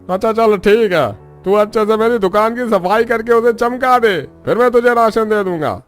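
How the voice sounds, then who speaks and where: A man's voice: high-pitched, normal speed, faint music behind, natural voice. An actor playing a grumpy, bossy village grocer in a cartoon story, a man who scolds but relents.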